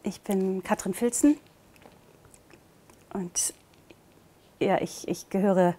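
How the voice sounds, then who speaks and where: A woman in her thirties who grew up in Portugal speaks in a casual, personal way, as an actress would when introducing herself. Her voice sits at a middle pitch and her manner is chatty. She is recorded indoors on a lapel mic. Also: slow, heavy background noise. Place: indoor studio with dark backdrop.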